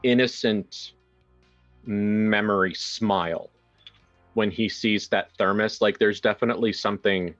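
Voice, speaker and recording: Male tabletop storyteller, lower register, halting improvised delivery, slightly lo-fi sound with music underneath. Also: fairly even intonation. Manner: halting, searching for words with repeated phrases. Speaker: tabletop role-player narrating his character. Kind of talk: improvised game narration. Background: background music.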